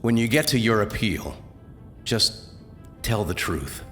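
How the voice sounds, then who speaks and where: An actor playing a dismayed, dutiful officer in an audio drama, speaking plainly and sombrely. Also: male voice, fairly low pitch, lively intonation, slow pace, a bit bright, soft background music. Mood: dismayed, resigned. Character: dismayed, dutiful male officer making an arrest.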